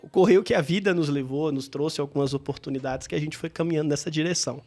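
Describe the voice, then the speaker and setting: A man speaks as an interview guest, recounting his path in a reflective, unhurried way. His voice carries a gravelly edge, and he often pauses and restarts mid-sentence as he gathers his thoughts. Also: on the high side, a little mellow. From Bahia, Brazil. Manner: reflective, with frequent hesitations and restarts. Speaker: guest entrepreneur. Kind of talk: interview storytelling.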